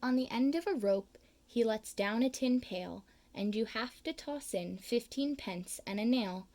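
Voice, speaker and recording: Woman, children's storybook read-aloud, slow unhurried pace, higher voice, leans hard on key words. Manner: slow, expressive reading with big pitch swings on key words. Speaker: storyteller reading a picture book aloud.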